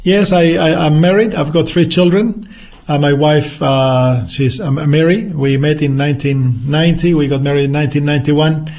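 A man's voice: fairly high pitch, moderate pace, darkish tone. A man in his fifties with a Chilean Spanish accent, a guest evangelist answering interview questions earnestly and unhurriedly, with frequent restarts. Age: 50s.

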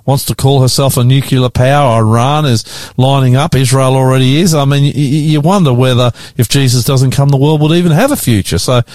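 A man's voice: medium pitch, fairly quick, few pauses, darkish tone, no room sound, clean studio sound. A man with an Australian accent hosting casual radio talk in a chatty, blokey manner. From Queensland, Australia. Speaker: radio host and pastor. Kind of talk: casual radio banter and discussion. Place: radio studio.

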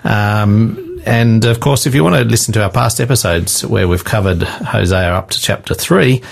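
A man's voice: fairly low pitch, expressive, moderate pace, gravelly, slightly rough. A man hosting a faith radio talk show, upbeat and friendly as he interviews a guest.

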